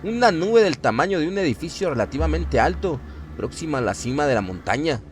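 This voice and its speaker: A man's voice: a little high, sing-song, fairly quick. A man narrating a scripted horror story for radio in a dramatic style. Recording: clear ambient noise behind voice. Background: ambient sound effects bed.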